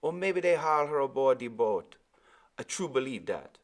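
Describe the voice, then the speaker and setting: A very old, powerful West Indian seaman played by a male reader in an adventure storybook. He speaks in a folksy, musical dialect and reassures gently. The pitch is lifted well above the reader's narrating voice. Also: very animated, moderate pace, natural voice. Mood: reassuring. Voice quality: rich, soft and musical.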